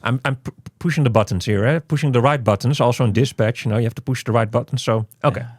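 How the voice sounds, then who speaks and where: A man's voice: medium pitch, quick pace, dry recording, clean studio sound. A man casually hosting an unscripted video game review, chatty and rambling, often repeating words and phrases. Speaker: host of a game review channel.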